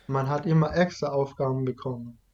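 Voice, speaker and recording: Young man as interviewee, halting and earnest, mid-pitched, a little lo-fi. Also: darkish tone. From Iraq. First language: Kurdish. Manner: hesitant, many restarts and fillers. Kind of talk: casual conversation.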